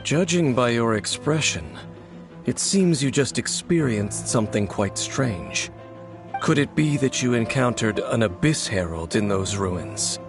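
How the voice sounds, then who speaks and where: An actor playing a calm, measured male swordsman in a video game, speaking in a composed, serious way. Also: mid-range voice, music in the background.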